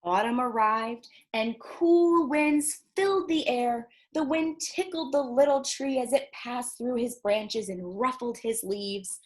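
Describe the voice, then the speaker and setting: Children's storytime librarian, female, slightly high voice, slow delivery, expressive and encouraging read-aloud. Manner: expressive, encouraging, addresses young listeners with questions. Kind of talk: reading picture books aloud to children.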